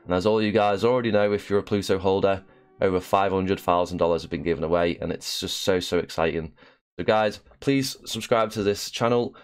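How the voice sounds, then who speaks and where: A man's voice: low voice, fairly quick, smooth. A young man with a slight cough hosting a casual promotional video, chatty and full of fillers. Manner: chatty, with frequent "uh" and "um". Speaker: channel host.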